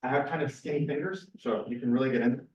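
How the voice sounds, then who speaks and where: A man's voice: medium pitch, quick pace, a little mellow, a little hiss or hum. A man in his forties from California speaks as a scientist giving an informal, personal lecture in a conversational way, often correcting himself. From California, USA. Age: 40s. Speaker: research scientist giving a seminar. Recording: roomy, distant, muffled lecture recording.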